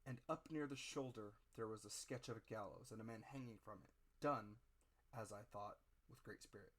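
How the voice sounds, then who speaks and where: A man narrating a literary audiobook as a dramatic reading, theatrical, with deliberate phrasing in short units. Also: fairly low pitch, a bit bright.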